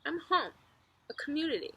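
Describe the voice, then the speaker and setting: A woman reading a children's book aloud as narrator, expressively, with clear storytelling phrasing and emphasis. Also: high-pitched, big pitch swings, a little fast, pushed and bright, muffled recording.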